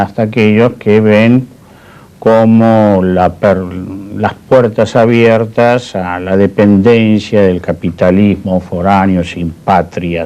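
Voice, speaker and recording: An older man from Spain, discussing history as an interview guest in a deliberate, discursive, formal way. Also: on the low side, mellow. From born in Madrid, Spain; settled in Argentina.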